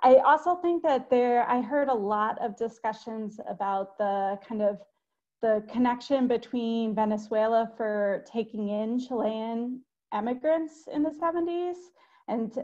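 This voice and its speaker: A woman's voice: fairly high pitch, darkish tone. A middle-aged woman speaking as a panelist in an academic webinar, conversational with fillers, on a video call with earbuds from home. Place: home room. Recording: dull video call audio.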